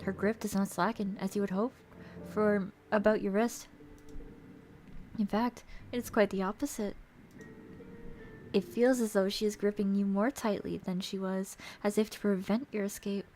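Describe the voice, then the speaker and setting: A woman streamer reading a visual novel aloud as theatrical storybook narration, expressive and playful. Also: mid-range voice, normal speed. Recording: music behind the voice.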